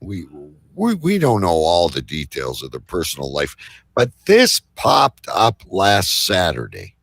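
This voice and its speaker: Male host, low register with lots of movement, loose rambling live-show banter, close dry sound. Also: huge pitch swings, measured pace, gravelly, fairly bright tone, no echo. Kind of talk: casual live show chat.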